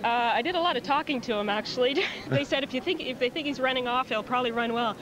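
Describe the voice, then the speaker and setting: A woman's voice: high-pitched, fast talker, rough recording. A cheerful woman with a Canadian accent, a jockey chatting casually in a post-race interview. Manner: cheerful, giggly. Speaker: amateur jockey being interviewed. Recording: busy outdoor racecourse crowd behind.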